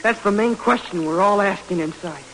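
An actor playing a timid, hesitant young camp cook in a 1940s radio drama. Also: male voice, high-pitched, a bit bright, poor audio. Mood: nervous, deferential. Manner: hesitant, halting. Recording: faintly hissy old radio broadcast.

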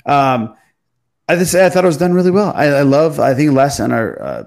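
A man's voice: a little high, big pitch swings, moderate pace, gravelly, darkish tone. A man hosting a podcast, chatting casually about films with a panel. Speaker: podcast host.

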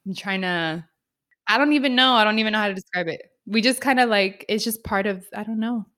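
A woman's voice: medium pitch, fairly quick, a bit bright. A woman hosting an interview, talking in a chatty, laughing way with many fillers. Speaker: podcast host. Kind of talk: casual interview conversation.

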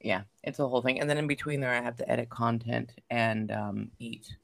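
A woman guest on a podcast who has just woken up, talking in a low, sleepy voice with a bubbly, joking manner over a remote recording. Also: fairly even intonation, gravelly. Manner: bubbly and jokey, quick asides. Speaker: podcast guest, psychic medium.

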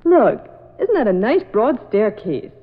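An actress playing a brisk, sensible, plucky newspaperwoman with a no-nonsense manner in a radio drama. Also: fairly high pitch, very animated. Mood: confident. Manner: no-nonsense, quick retorts. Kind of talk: old-time radio drama acting. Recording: tinny old radio recording, crowd noise.

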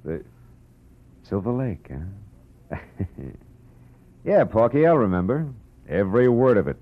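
A man's voice: on the low side, very animated, slow, slight background noise. A man playing a laconic, wisecracking private detective who narrates in a 1940s radio drama. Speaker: hardboiled private eye narrator. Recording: dull old radio recording with music.

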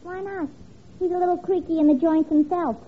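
A woman's voice: extremely high pitch, steady tone, a little fast. A woman playing a sassy, dim young tavern woman with blunt, pert wisecracks in a 1950s radio comedy. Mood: pert, mocking. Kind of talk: 1950s radio sitcom acting. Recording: very noisy, tinny old radio broadcast.

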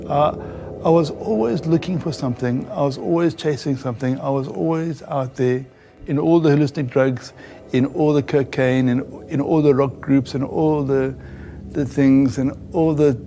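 An older man from Zambia with a Southern African accent, telling his life testimony in a halting, reflective way. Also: fairly high pitch, creaky, warm tone. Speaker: guest giving a testimony. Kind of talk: personal testimony storytelling. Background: music.

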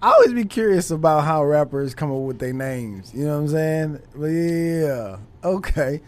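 A man's voice: on the high side, lively intonation, normal speed, dry recording. A man from Los Angeles hosting a podcast, chatting in a laid-back, slangy way and talking straight to his viewers. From Los Angeles, USA. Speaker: podcast host. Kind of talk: casual interview chat.

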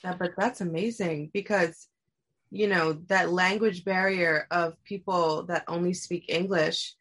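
A woman hosting a podcast chats with her guest in a casual, gushing way, throwing in slang and lots of fillers. Her voice sits slightly low for a woman. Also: fairly even intonation, unhurried, a bit bright. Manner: enthusiastic, slangy praise with many fillers. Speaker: podcast host. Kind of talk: casual interview chat.

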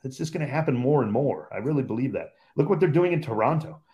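A man's voice: medium pitch, animated, fast, dark tone. A man who calls games on the radio, a guest on a podcast chat, talking in an emphatic, opinionated way and repeating points for stress.

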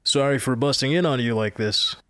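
An actor playing a calm, fair-minded middle-aged man who speaks in a measured, reasonable way. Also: medium pitch, clean tone, dry recording, clean studio sound, natural voice. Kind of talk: audio drama acting.

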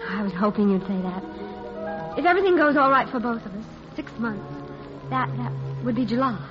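Woman acting a witty, tender high-voiced romantic heroine. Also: expressive, fairly quick, close to an everyday voice. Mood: tender, resolute. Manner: wry, tender, composed. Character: warm, witty nightclub singer, tenderly in love, resolute. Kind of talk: vintage radio romance acting. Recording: dull old radio recording, music behind.

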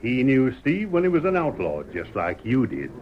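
A folksy old cowboy in a vintage radio western, drawling with big swings of pitch. He is a bumbling schemer who thinks himself slick, and the old recording is dull. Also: huge pitch swings, moderate pace, a little hiss or hum. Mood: sly scheming. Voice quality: rustic drawl. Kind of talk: 1940s radio western acting.

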